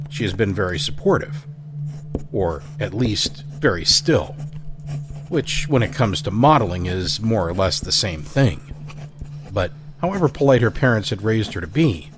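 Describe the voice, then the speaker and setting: A man hosting and narrating an audio drama, reading a scripted story in a measured, deliberate manner. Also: on the low side. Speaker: host and narrator.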